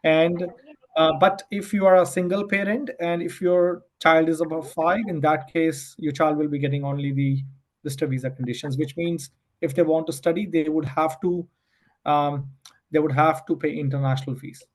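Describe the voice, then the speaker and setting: A man's voice: fairly high pitch, steady tone, normal speed, rough recording. A man hosting an online webinar as an immigration adviser, explaining politely with repeated restarts and hesitant phrasing.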